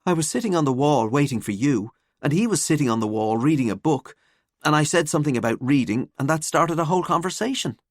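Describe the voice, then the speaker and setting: A woman's voice: low-pitched, lively intonation, quick pace, no room sound, clean studio sound, pitched above the performer's own voice. A woman voicing an earnest, easily embarrassed teenage schoolgirl in an audiobook, wry and defensive.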